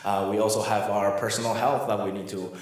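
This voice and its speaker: Echoey low-voiced man giving a talk. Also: flat delivery, fairly quick. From Taiwan, moved to the US as a child. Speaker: guest speaker at a parent workshop. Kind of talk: informal workshop talk. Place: reverberant room in a church. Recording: very echoey church room.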